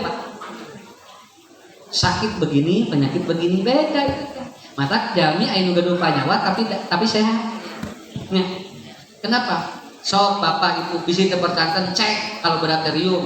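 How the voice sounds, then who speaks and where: A man's voice: high-pitched, fairly bright tone. Male preacher, Sundanese accent, humorous chatty delivery; distant, reverberant hall recording. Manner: chatty, joking, mixing in local words, laughs often. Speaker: Islamic preacher. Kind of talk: lively humorous sermon to a congregation. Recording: echoey hall, far from the mic, noisy.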